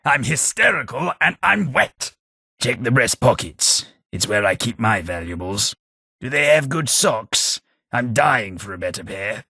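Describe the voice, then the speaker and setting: An actor playing a refined, dry-witted male ghoul companion with an upper-class Southern English accent and a husky voice, recorded close in a studio for a game. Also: medium pitch, very animated, unhurried, bright, forceful tone, dry recording, full character voice. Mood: fussy. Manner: dry, wry, refined delivery. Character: posh, witty male ghoul gentleman companion. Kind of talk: video game voice lines. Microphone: close studio mic.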